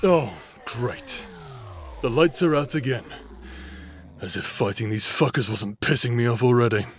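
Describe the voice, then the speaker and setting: A man's voice: mid-range voice, a little slow, husky, dry recording. A man playing a brash, irritable, cocky fighter in an audio drama, blunt and sarcastic. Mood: irritated. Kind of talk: anime audio roleplay acting.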